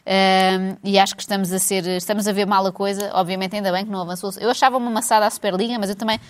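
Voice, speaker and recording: A woman's voice: mid-range voice, racing. A woman with a European Portuguese accent, co-hosting a casual chat and ranting sarcastically about football and money. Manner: sarcastic, animated rant.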